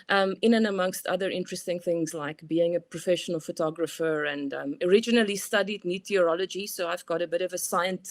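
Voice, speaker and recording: South African woman explaining thoughtfully. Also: medium pitch. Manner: thoughtful, explanatory, frequent "you know" fillers. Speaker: guest expert. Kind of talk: conversational interview.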